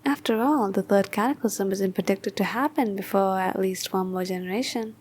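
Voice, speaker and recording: A woman's voice: medium pitch, normal speed, darkish tone, close to an everyday voice. A woman playing a cheerfully devoted mother in a bunker commune, giving an earnest, chatty testimonial in an audio comedy. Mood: earnest, contented.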